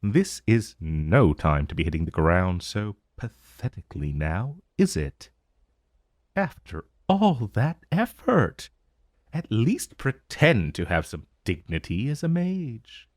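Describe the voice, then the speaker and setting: A man's voice: on the low side, sing-song, no echo, clean studio sound, heavily acted. A man playing an ancient dragon in human form, haughty and teasing with a vague menace, in a theatrical, condescending purr for a fantasy ASMR roleplay. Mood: mocking, amused. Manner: slow, theatrical, condescending purr with mocking endearments. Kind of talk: fantasy ASMR roleplay audio, addressing the listener.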